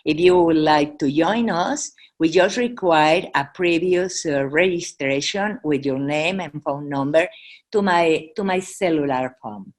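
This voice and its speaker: A woman with a Mexican accent, a native Spanish speaker, giving an informal presentation and halting as she searches for English words. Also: low-pitched. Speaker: Red Cross presenter.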